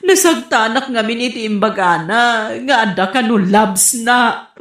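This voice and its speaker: A woman with a northern Philippine accent playing a lovelorn, flirtatious middle-aged auntie in a comedy radio drama, with drawn-out, whiny delivery. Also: fairly high pitch, expressive, a bit bright. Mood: wailing, heartbroken. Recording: roomy, echoing voice recording.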